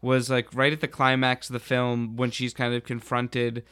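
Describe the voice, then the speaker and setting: A man's voice: mid-range voice, completely flat delivery, moderate pace, a bit bright, smooth, no room sound, studio quality. A man on a podcast panel talking casually about films in loose, informal phrasing. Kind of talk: casual film discussion.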